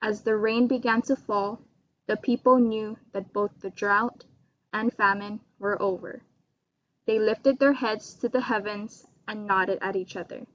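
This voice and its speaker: A woman's voice: mid-range voice, steady tone, a little slow. A woman storyteller giving a scripted, dramatic narration of a folktale. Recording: dull lo-fi recording with loud mains hum.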